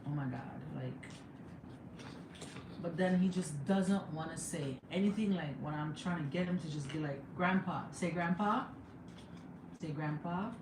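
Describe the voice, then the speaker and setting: A young woman with a Jamaican accent chatting casually on a home livestream, laughing often and sometimes breaking into excited high exclamations. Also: unhurried, long pauses. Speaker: livestreamer and mother. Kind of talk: casual live chat. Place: home room. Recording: echoey room with background noise.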